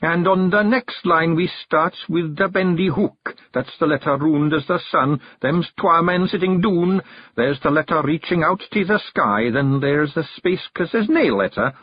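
Bossy little fairy clan chief, voiced by a man, thick Scottish brogue, high and animated, dull lo-fi sound. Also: unhurried, breathy edge, dry recording. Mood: boastful.

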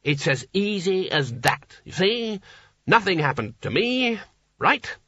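A man voicing a pompous, irritable wizard ringleader in an audiobook, bossing people about briskly and with exasperation. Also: high-pitched, big pitch swings, pushed and bright, dry recording, highs cut off, voice pushed up, exaggerated voice. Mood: smug.

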